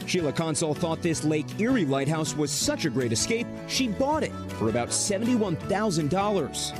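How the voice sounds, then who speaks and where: A young man narrates a news feature in an upbeat, scripted way, his voice a little high and full of rises and falls. Music plays clearly under his words. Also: sing-song, moderate pace. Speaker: news correspondent.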